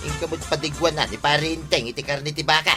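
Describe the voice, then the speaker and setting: A man's voice: a little high, steady tone, quick pace, lightly acted. A man with an Ilocano accent playing a roguish, bantering tricycle driver husband in a comedic radio drama, with music behind.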